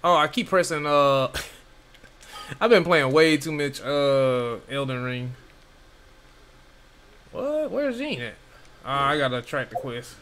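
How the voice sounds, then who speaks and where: A man's voice: fairly high pitch, lively intonation, takes it slowly, long pauses, a bit bright. A man streaming a video game, chatting informally and thinking aloud as he plays. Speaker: gaming streamer. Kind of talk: casual gameplay commentary. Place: behind: game music.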